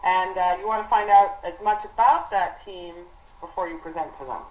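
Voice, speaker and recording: Halting woman advising on a roomy conference call. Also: lowish voice, darkish tone, slight background noise. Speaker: instructor leading a team conference call. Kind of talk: explanatory business advising.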